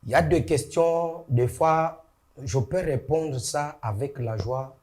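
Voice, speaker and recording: Man, talk show guest, slow, somewhat high pitch, solemn. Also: darkish tone. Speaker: studio guest. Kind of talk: political talk show discussion.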